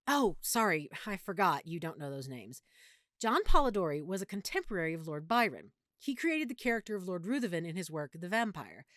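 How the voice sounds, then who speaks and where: An affectionate girlfriend with strong opinions and a nerdy streak, acted by a woman for an audio roleplay. Her pitch moves animatedly as she explains things in a playful, fussy way. The sound is dry and intimate, like a one-sided chat between partners. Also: no echo.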